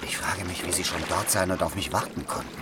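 An actor playing a loyal former officer and secret agent in a radio drama, measured and earnest. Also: male voice, deep voice, heavy background noise, lightly acted. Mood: sober, confiding. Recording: loud music and water behind.

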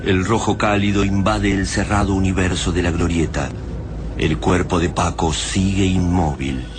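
A man's voice: low-pitched, steady tone, normal speed, noisy background. A man narrating a radio drama in a scripted, dramatic storytelling style. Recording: music behind the voice.

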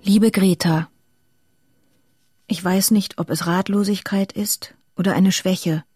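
An actress voicing a weary, resigned letter in a radio drama, quiet, breathy and intimate. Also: female voice, mid-range voice, steady tone, slow, no room sound, clean studio sound, faint music behind. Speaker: actor in an audio drama.

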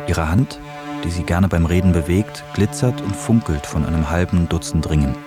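A man's voice: deep voice, moderate pace. A man reading scripted, literary documentary narration as the narrator. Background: music.

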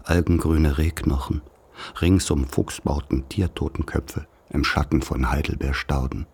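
An actor in a radio play playing a grave man who recalls a dark rural childhood, speaking in solemn, measured, poetic phrases with a husky voice. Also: a bass voice, fairly even intonation, heavily acted. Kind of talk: literary radio play monologue.

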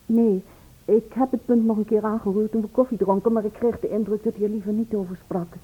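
A woman's voice: mid-range voice, fairly even intonation, fairly quick, slightly rough, natural voice. An actress playing a hesitant, loyal woman who is wary of trouble, understated, in a radio play. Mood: recounting. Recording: dull, band-limited old radio play recording.

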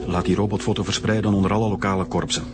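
Authoritative male police chief, played by an actor, low voice, rapid orders, radio drama over music. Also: level tone, natural voice. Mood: commanding. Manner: brisk, authoritative. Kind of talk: naturalistic radio drama acting.

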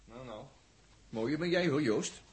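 A man's voice: medium pitch, lively intonation, unhurried, darkish tone, slightly rough, rough recording. An actor playing a blunt, wisecracking spaceship crewman in a 1960s Dutch radio drama, with a colloquial, jaunty manner. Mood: jaunty, dry.

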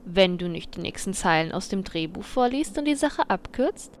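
A woman's voice: mid-range voice, animated, normal speed, a little hiss or hum, close to an everyday voice. A woman playing a sensible, reproachful heroine in a comic fantasy audio drama, speaking in an admonishing way.

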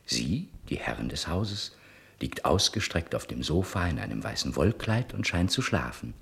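Deep, very raspy male radio narrator. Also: big pitch swings, fairly bright tone. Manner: measured, storytelling. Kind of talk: scripted, theatrical 1960s radio narration.

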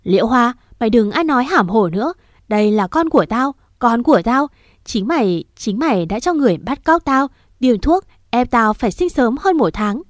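A woman voicing a desperate young mother who pleads through tears and shouts in fury. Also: fairly high pitch, animated, moderate pace, dry recording, clean studio sound, natural voice. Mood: furious shouting. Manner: sobbing pleas turning to shouted fury.

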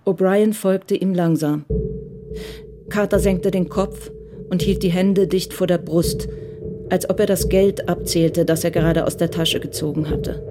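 A woman's voice: lowish voice, steady tone, a little slow, noisy background, natural voice. A woman giving scripted literary narration in a radio crime drama. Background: music.

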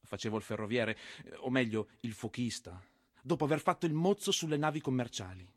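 A man's voice: mid-range voice, lively intonation, fairly quick, dry recording, clean studio sound, natural voice. A man playing a proud, defiant one-legged soldier in his thirties recounting his own death in a theatrical monologue. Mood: grave, proud. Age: 30s.

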